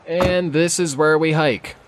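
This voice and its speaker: A man's voice: on the high side, fairly even intonation, slow, noisy background. A man playing a young park ranger in an audio drama, casual and easygoing. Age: young adult. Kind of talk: audio drama acting, conversational.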